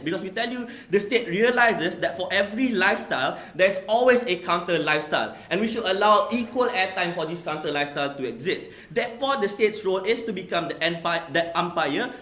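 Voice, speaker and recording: A man's voice: sits high, lively intonation, a little fast, hardly any pauses, sharp tone. A young man from Malaysia giving a formal competitive debate speech, emphatic, repeating "we tell you" and filling gaps with "you know". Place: debate hall. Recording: echoey hall.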